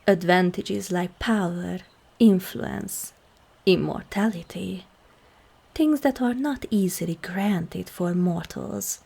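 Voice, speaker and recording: Woman acting as a demon queen, husky, dark soft tone, slow and commanding, seductive, whispery ASMR fantasy roleplay. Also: on the low side, full character voice. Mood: persuasive, regal. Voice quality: breathy, husky. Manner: slow, deliberate, formal, often dropping into whispers.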